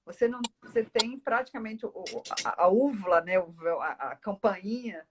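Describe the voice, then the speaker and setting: A Brazilian woman talks freely and at length, explaining things in a casual conversational way. Her pitch sits in the middle for a woman. There is some faint noise and clicking behind her voice. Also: lively intonation, moderate pace. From Northeast Brazil. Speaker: speech therapist, interview guest.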